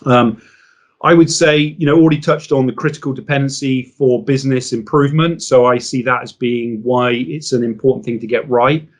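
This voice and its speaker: A man's voice: medium pitch, a little fast. Articulate man explaining as interview guest. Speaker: interview guest, business consultant. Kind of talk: business interview, explanatory talk.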